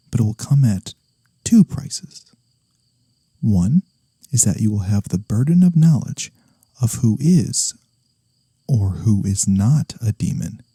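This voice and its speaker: A man playing a gentle, honorable incubus demon in a soft-spoken ASMR fantasy roleplay, measured, formal and softly teasing. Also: mid-range voice, breathy edge, dry recording, heavily acted.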